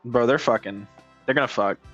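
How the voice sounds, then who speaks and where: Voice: medium pitch, fairly quick, a bit bright, dull audio. A streamer giving casual, joking commentary on a story game, informal and slangy, often repeating phrases. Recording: faint game music behind.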